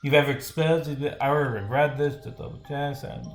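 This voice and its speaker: A man casually commentating on a livestream as a game developer, rambling and thinking out loud as he reads over his script. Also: on the high side, steady tone, a little fast. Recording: roomy, echoing sound with music.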